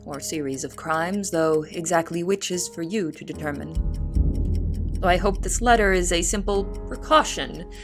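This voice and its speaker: A woman playing an earnest young female cook in an audio drama, speaking in a formal, measured, articulate way. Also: lowish voice, lightly acted. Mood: grave, formal. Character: Anne Marie, earnest young female cook writing testimony. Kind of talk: audio drama, formal letter narration and dialogue. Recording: music bed under the voice. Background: instrumental music.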